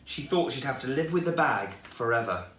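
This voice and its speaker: A man reading a children's story aloud in an expressive storytelling style. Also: medium pitch, moderate pace, slight background noise. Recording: echoey room, distant microphone.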